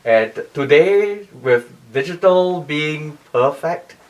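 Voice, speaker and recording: Male interviewee, technical explainer. Lively intonation, repeats points for emphasis, voice sits back from the microphone. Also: fairly high pitch, slow pace, distant mic. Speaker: loudspeaker company CEO, interview guest. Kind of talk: interview explanation of audio design.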